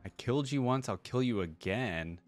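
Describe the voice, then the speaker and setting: A man's voice: medium pitch, expressive, normal speed, soft background music. A man giving casual, off-the-cuff commentary as the host of a reaction video, often repeating his words.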